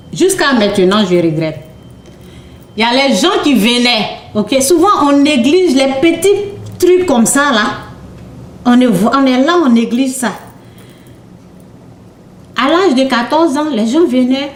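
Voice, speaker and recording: A young woman talks through her faith at a slow, unhurried pace, her voice sitting a little high. She hesitates, repeats words and keeps asking her listeners "ok?". The room around her is echoey. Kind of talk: informal sermon testimony.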